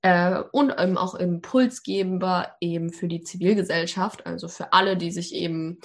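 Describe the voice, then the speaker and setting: Chatty young woman from Hamburg. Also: fairly low pitch. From Hamburg, Germany. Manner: chatty, frequent fillers. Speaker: student, podcast guest. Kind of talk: casual podcast talk. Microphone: remote call.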